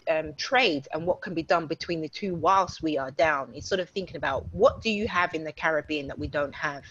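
Guest, British-born woman, lowish voice, chatty and filler-heavy, muffled video-call audio. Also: brisk, breathy edge. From United Kingdom. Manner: chatty, warm storytelling with many fillers. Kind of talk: casual online interview.

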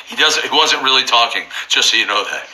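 A slightly hoarse man teaching from the Bible informally, fervent and building to near-shouting emphasis. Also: mid-range voice, animated, a little fast, slight background noise, rough recording.

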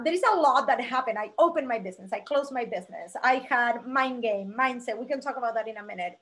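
Argentinian-born woman in her 40s, animated guest telling her story, slightly high voice. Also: poor audio. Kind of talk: conversational interview storytelling.

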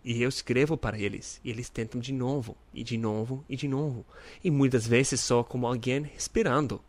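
A man's voice: mid-range voice, steady tone, moderate pace, dry recording. A young American man hosting his own podcast, speaking Portuguese as a learner with an English accent, friendly and earnest, joking and stumbling over words. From North America. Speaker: podcast host. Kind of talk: casual solo podcast monologue.

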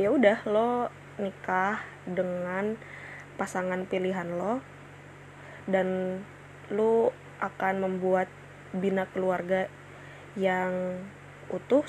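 A woman's voice: medium pitch, slight background noise, low-quality recording. A woman in her twenties hosting her own casual solo podcast, chatting in slang with many fillers and restarts. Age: 20s. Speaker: podcast host.

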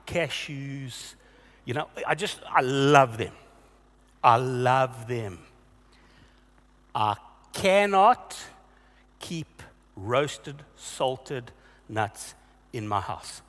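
A man's voice: medium pitch, a bit bright, in a large hall. A male pastor giving a sermon, earnest and questioning, repeating himself for emphasis.

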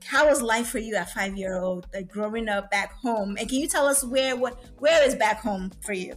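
A woman from Liberia co-hosts a podcast with a higher voice. She greets listeners and asks questions in a bubbly, upbeat way. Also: normal speed, soft background music. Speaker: podcast co-host. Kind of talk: casual podcast interview.

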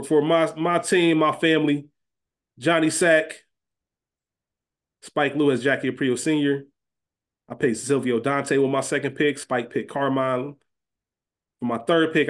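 A man chatting casually and emphatically as a podcast co-host, conversational in his delivery. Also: mid-range voice. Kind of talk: casual chat and recap.

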